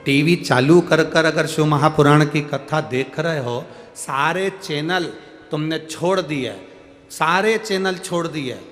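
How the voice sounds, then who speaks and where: A male preacher telling a devotional story to a crowd, his voice swinging between loud proclaiming and soft, hushed passages. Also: on the high side. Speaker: katha preacher. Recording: reverberant hall sound with faint music behind.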